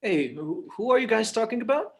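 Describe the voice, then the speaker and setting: High-pitched, earnest, stammering son at the end of his patience, male actor, table read. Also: lively intonation, a little fast. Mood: agitated. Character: anxious, exasperated adult son and schoolteacher.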